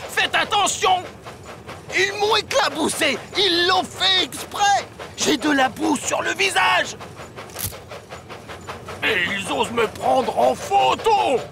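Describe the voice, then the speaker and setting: An actor voicing a sulky, vengeful little cartoon engine with a gravelly, cackling, theatrical manner in a dubbed children's cartoon. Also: male voice, extremely high pitch, lively intonation, takes it slowly, pauses often, noisy background, full character voice. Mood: indignant. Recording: studio dub over loud music.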